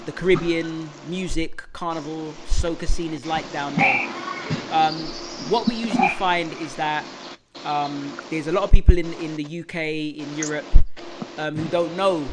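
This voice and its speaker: A man's voice: a little high, very noisy. A man hosting a casual interview in a laid-back, hesitant way, often saying "um" and "yeah, man.". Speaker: interview host.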